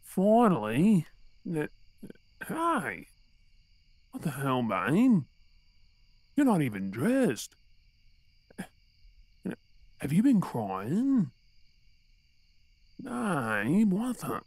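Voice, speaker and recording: A man's voice: on the high side, huge pitch swings, extremely slow, long pauses, darkish tone, no room sound, exaggerated voice. A man with an Australian accent playing an exasperated but tender boyfriend in an intimate, conversational audio roleplay.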